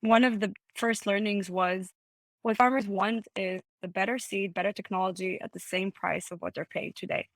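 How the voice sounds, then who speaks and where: A woman in her thirties from Israel, a startup CEO explaining her business clearly on a video call. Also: mid-range voice, fairly even intonation, moderate pace, no echo. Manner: articulate, explanatory. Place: home room, indoors.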